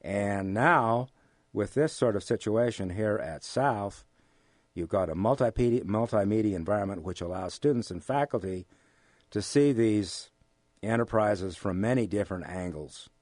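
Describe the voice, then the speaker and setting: American man, deep-ish voice, interview guest, hesitant measured delivery, formal wording, dry recording. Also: darkish tone, dry recording. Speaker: interview guest, amateur radio drama actor. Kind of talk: relaxed interview conversation.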